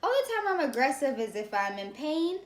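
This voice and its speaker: A young woman with a high-pitched voice, co-hosting a casual chat with her mother, frank and self-assured. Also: big pitch swings, brisk. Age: young adult. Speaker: co-host, daughter. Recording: echoey room.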